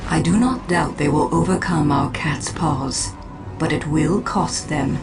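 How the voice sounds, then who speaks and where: A proud elven alien seer from a video game. Her voice is low and commanding, with formal, measured phrasing over clear background music. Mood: cold, assured.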